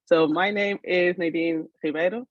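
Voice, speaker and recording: A woman's voice: fairly low pitch, fairly even intonation, moderate pace, no room sound, muffled recording. A woman from Portugal working as a recruiter, giving a friendly and upbeat webinar introduction over a video call. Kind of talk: webinar presentation.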